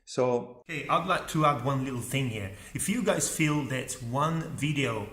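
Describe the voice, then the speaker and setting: A man's voice: medium pitch, expressive, normal speed, a bit bright, reverberant. A man whose first language is Italian, talking casually to camera as a YouTuber, thinking aloud with lots of fillers and "okay?" check-ins. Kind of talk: casual advice talk to camera. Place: at home by his computer.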